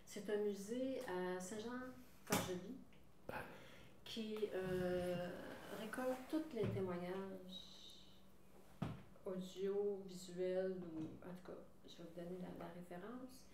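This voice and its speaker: An older woman with a Quebec French accent, interviewing in a chatty, relaxed way and slowing down when she gives details. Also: mid-range voice, noisy background. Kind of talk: casual interview conversation. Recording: roomy, distant mic.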